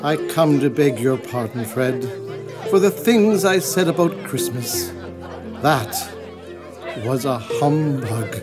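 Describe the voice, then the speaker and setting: A reformed old miser in a radio drama. He is giddy and repentant, stretching his words very slowly with lively swings of pitch, stammering in his excitement. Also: loud music behind the voice, over a noisy crowd. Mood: humble, remorseful. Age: older. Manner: exclamatory, breathless, stammering with excitement.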